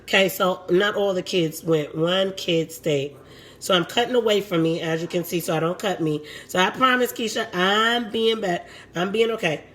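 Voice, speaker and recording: A woman with a raspy voice, hosting a casual live cooking demo from her home kitchen and chatting with her viewers as she works. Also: lowish voice, normal speed, a bit bright. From Puerto Rico.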